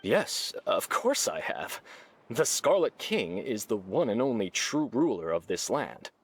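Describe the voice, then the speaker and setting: An actor voicing a skeptical, nervous desert villager in a video game. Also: male voice, lowish voice, big pitch swings, a little slow, slight background noise. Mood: skeptical, hopeful.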